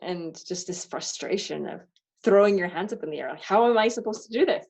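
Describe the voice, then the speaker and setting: A woman, a parent, speaking candidly and haltingly about her frustrations in a reflective self-inquiry session. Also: medium pitch, lively intonation, gravelly, darkish tone. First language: not German. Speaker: parent doing the worksheet.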